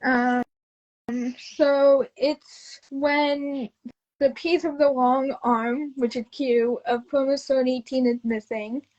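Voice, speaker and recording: Young woman, very high voice, halting, repeated phrases, casual personal storytelling. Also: flat delivery, darkish tone, dull audio. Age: 20s.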